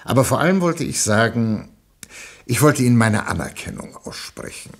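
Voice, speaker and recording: Husky, pompous government minister. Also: male voice, mid-range voice, big pitch swings, moderate pace. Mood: flustered, unctuous. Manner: pompous, rhetorical, speechifying. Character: pompous, evasive government minister, smooth political orator. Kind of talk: German radio play acting.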